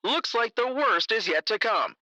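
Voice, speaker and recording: A man's voice: high-pitched, expressive, normal speed, pushed and bright, no room sound, muffled recording, exaggerated voice. A man playing an ominous rhyming announcer in a parody radio promo, sing-song and melodramatic.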